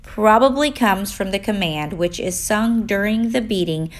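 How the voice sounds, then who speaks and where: A woman reading a children's story aloud in an expressive, deliberate way for young listeners. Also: medium pitch.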